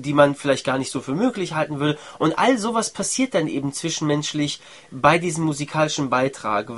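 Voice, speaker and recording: A man's voice: mid-range voice, a bit bright. A man from Iran, a musician, speaking in a conversational storytelling way in an interview. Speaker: musician, percussionist.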